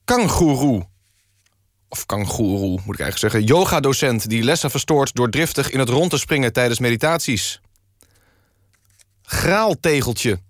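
Male satirical radio host, deadpan and mock-formal, medium pitch, dry studio sound. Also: normal speed, long pauses, dry recording. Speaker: radio presenter.

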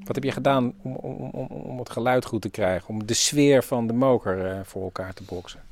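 A man interviewing casually, feeling out his questions haltingly and repeating words. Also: mid-range voice, creaky, a little mellow, faint music behind.

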